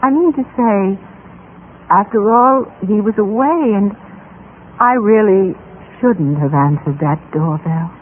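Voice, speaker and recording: Plaintive wife, radio melodrama, halting and emotive; noisy, very narrow old broadcast sound. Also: female voice, medium pitch, expressive. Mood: halting, forgiving. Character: trusting housewife, poisoned by husband, plaintive and forgiving. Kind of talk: old-time radio drama acting.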